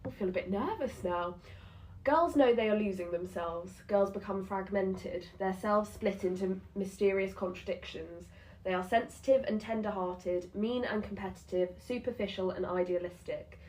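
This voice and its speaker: A twenty-year-old woman co-hosting a chat podcast, talking quickly and bubbling with giggles. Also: mid-range voice, a little mellow, rough recording. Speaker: podcast co-host. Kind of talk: casual chat.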